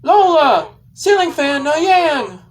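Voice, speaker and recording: A man voicing an exclaiming meme character who calls out to a grandma in loud, drawn-out shouts. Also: extremely high pitch, very animated, pitched above the performer's own voice, heavily acted. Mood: loud, excited.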